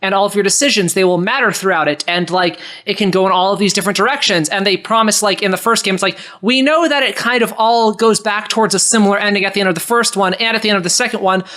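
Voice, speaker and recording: A woman co-hosting a podcast in casual, unscripted discussion, telling things in an animated way and acting out quotes. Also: mid-range voice, fast, few pauses. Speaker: podcast co-host reading listener questions.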